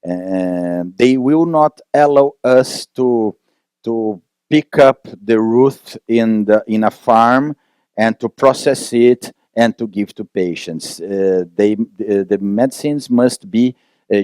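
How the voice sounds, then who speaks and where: A Brazilian-accented man whose first language is Portuguese answers questions haltingly, restarting phrases and filling pauses. His voice sits low and mellow, and the sound is close and dry. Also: clean tone, no echo. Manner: hesitant, many fillers and restarts. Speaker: physician speaker at a conference.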